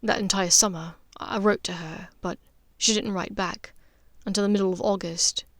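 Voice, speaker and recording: A woman voicing a guarded, earnest young man, a wizard son in an audiobook, in a low-key manner. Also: fairly even intonation, normal speed, no echo, lightly acted. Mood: wistful.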